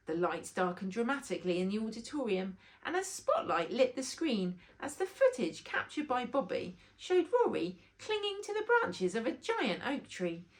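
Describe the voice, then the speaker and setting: A woman reading a children's story aloud as an expressive narrator. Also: a little high.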